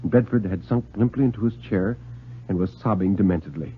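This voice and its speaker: A man's voice: lowish voice, a little fast, slight background noise. A man giving a dramatic reading of thriller stories in the style of 1950s radio narration. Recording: lo-fi 1950s radio recording, music behind.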